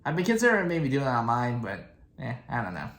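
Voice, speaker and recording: A man hosting a reaction video, giving casual, rambling commentary in a hesitant, halting, informal way. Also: medium pitch, big pitch swings. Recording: roomy echo, loud show music behind.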